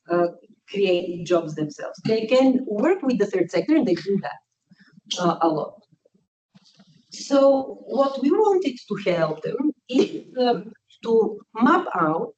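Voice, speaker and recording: A woman's voice: mid-range voice, a little mellow. Woman presenting at a conference. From Bulgaria. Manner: hesitant, many fillers, uneven emphasis. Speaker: conference speaker, researcher. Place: conference hall. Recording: distant, dull, faint noise.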